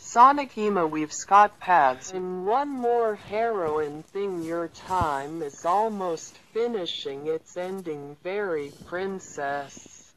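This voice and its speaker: A woman reading out lines in a halting delivery. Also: lowish voice, animated, poor audio. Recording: music under the voice.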